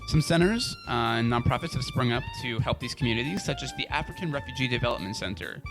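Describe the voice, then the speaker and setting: Guy doing a podcast intro, super lively pitch, bit gravelly, guitar playing under him. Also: fast talker, rough recording. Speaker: podcast host. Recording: music bed behind voice. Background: guitar music.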